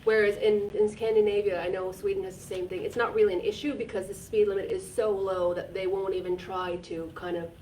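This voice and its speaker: A woman's voice: medium pitch, steady tone, brisk, hardly any pauses, dark tone. A woman from Finland, co-hosting a casual live chat in an earnest, talkative way, with plenty of "like" and restarts. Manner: earnest, chatty, with frequent "like" and restarts. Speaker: co-host. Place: community repair centre. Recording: distant mic with faint background noise.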